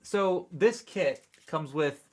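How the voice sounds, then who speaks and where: A man's voice: fairly high pitch, takes it slowly, darkish tone. Congested man chatting on a livestream. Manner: rambling, chatty, many fillers and restarts. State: congested, recovering from a cold. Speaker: toy review livestream host. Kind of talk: casual live chat while building a kit. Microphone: desk mic close by.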